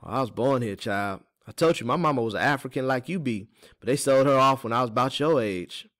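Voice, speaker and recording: Guy doing older enslaved woman in a storybook, higher pitched, gentle wise folksy herbalist. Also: animated, brisk, close to an everyday voice. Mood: wistful.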